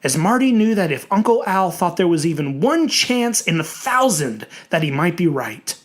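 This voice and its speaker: A narrator reading a horror story in a theatrical, dramatic way, with emphatic, drawn-out words. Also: medium pitch, big pitch swings.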